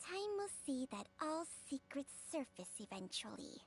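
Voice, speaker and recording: A woman's voice: high voice, very animated, normal speed, a little hiss or hum. A woman playing an ancient talking snake in a video game, wise and frank, scolding with care. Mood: admonishing. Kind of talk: anime video game voice acting. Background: game music.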